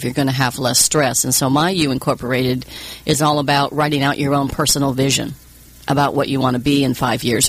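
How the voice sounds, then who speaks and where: A woman with a Southern accent hosting an interview, chatty and animated, often laughing and echoing her guest's words. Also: extremely low pitch, husky. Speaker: host. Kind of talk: conversational interview.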